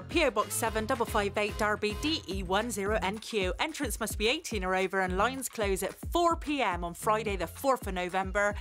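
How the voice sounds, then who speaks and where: Woman promo voice, a bit high, clear music bed. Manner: bright, upbeat promo delivery. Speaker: competition announcer. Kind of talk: scripted promotional read.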